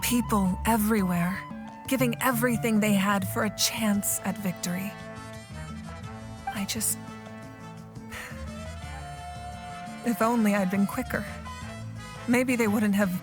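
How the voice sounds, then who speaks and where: Slow-spoken, composed warrior leader. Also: female voice, a little high, very animated, music in the background. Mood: weary, grieving. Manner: measured, earnest. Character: weary, dutiful warrior leader woman, composed. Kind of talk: video game voice acting.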